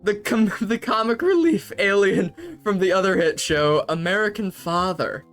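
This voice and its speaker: A man's voice: sits high, a little slow, fairly bright tone, faint music behind. Sarcastic young man reading fanfiction aloud. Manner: sarcastic, breaks into laughter while reading. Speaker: host.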